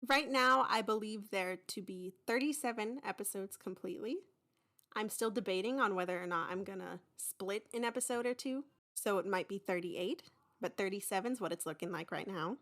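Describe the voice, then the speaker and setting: A young woman hosting her own podcast, talking casually and excitedly in a rambling, informal way. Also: medium pitch, normal speed. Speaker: podcast creator and host. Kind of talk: casual, chatty podcast announcement.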